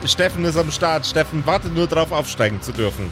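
Higher-pitched male role-player. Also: animated, a little fast. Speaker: player in tabletop role-playing show. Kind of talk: casual improvised role-play banter. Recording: light music bed behind the voice.

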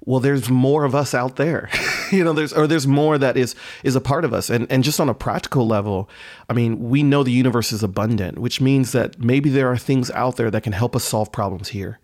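A man's voice: medium pitch, fairly quick, a little mellow. A man speaking as a podcast guest in a casual, reflective and thoughtful way.

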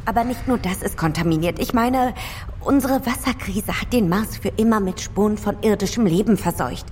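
A woman's voice: mid-range voice, normal speed, a little mellow, slight background noise, natural voice. An actress playing an earnest, conscientious female scientist on a Mars crew in a sci-fi audio drama. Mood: anxious, reluctant.

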